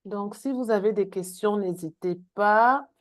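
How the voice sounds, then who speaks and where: A woman's voice: medium pitch, a little slow. A woman leading an online product training, talking with many fillers and restarts. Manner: hesitant, many fillers and repeated words.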